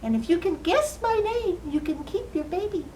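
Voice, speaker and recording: Storybook trickster, female performer as tiny magical man: squeaky-high, gleeful, insistent, demanding. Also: animated, normal speed, mellow, slight background noise, low-quality recording. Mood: bargaining. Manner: insistent, repetitive taunting.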